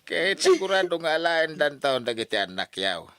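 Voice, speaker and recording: A man's voice: a little high, sing-song, fairly quick, a bit bright, clean tone. An actor playing a stern, concerned older father in an old radio drama, admonishing emphatically. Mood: urgent, scolding. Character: stern, concerned elderly father advising his daughter.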